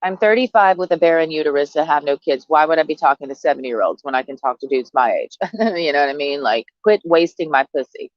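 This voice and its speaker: A woman in her thirties talks straight to camera, brash, profane and sarcastic, laughing between her lines. The sound is dry and close, a little dull in the highs. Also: animated, quick pace, no echo. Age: 30s. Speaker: vlogger. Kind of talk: casual monologue to camera.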